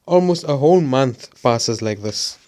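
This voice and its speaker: A man's voice: medium pitch, lively intonation, measured pace, warm tone, close to an everyday voice. A man playing an earnest, concerned young student in an audio drama. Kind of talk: scripted audio drama acting.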